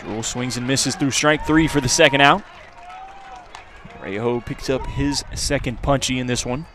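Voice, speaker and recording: A man's voice: mid-range voice, moderate pace, a bit bright, a faint crowd. A man calling live baseball play-by-play as a sports radio announcer. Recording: outdoor ballpark ambience noise.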